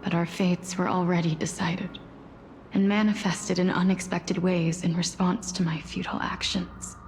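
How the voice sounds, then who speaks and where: A woman voicing a wistful, solemn demigod of death in a game, speaking softly and often breathily in a measured storytelling manner. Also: on the low side, little pitch movement, faint music behind, natural voice. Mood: mournful, reflective. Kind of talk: scripted game character voice acting.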